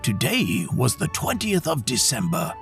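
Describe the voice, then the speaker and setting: Male narrator for children's audio story: hugely animated, dramatic, mid-pitched with some fry. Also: a little slow, faint music behind.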